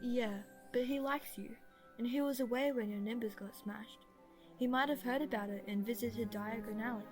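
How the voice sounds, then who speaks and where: A woman plays a brash young teenage wizard boy in an audio drama. The voice is fairly high, blunt and excitable, quick to get irritable. Music runs behind it. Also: lightly acted. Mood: earnest. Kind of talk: fan audio drama acting.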